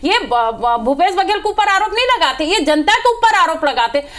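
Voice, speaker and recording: A woman with a very high voice and a Chhattisgarhi accent argues in a heated political debate. She is combative and insistent, hammering her points through repetition like a party spokesperson on a panel. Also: sing-song, moderate pace, a bit bright. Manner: combative, insistent, repeats phrases for emphasis.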